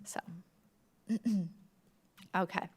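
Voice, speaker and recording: A woman speaking as a podcast creator in an informal presentation, explaining things conversationally with examples and plenty of "like". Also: extremely slow. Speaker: podcast creator giving a talk. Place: live talk before an audience at a library event.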